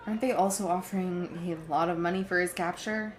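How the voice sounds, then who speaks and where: A woman's voice: fairly low pitch, steady tone, fairly quick, lightly acted. A woman acting a protective, reassuring young woman in a naturalistic audio drama. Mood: worried.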